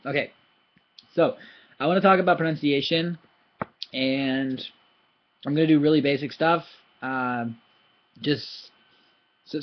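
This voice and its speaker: Young guy rambling through a language lesson, mid-pitched, corrects himself a lot, gets lively showing sounds. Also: highs cut off. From foreigner in Thailand. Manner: rambling, self-correcting, animated when demonstrating sounds. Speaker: language teacher. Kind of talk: casual video lesson.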